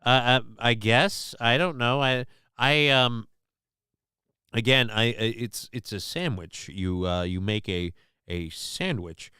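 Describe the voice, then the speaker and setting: A man's voice: medium pitch, slow, pushed and bright, clean tone, no echo, clean studio sound. A man from Minnesota hosting a casual live show, rambling and swearing while he answers the chat, with lots of restarts and fillers.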